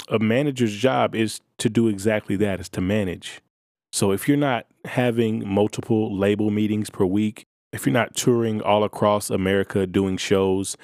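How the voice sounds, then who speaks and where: A man with a low, gravelly voice hosts a casual advice podcast. He talks in a flat monotone and lays out his points in a steady, matter-of-fact way, recorded close and dry. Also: dry recording. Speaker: podcast host.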